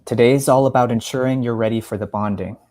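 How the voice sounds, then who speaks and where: A man reads the part of a magic professor dad in a storybook tale. He is gentle and earnest, with a mid-pitched voice. His delivery is measured and reassuring. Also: close to an everyday voice. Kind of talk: story reading, dialogue part.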